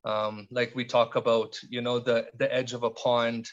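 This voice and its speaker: A middle-aged man from Canada talks slowly and thoughtfully, often restarting his sentences. His voice sits at an ordinary male pitch. The audio is a bit dull and muffled. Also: flat delivery. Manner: thoughtful, halting, many restarts and fillers. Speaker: podcast guest. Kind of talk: reflective conversational interview.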